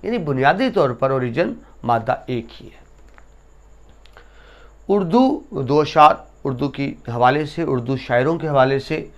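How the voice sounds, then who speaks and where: A man giving a lesson to students, explaining essay writing and reciting couplets slowly with emphasis. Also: mid-range voice, lively intonation, lo-fi sound. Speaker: teacher.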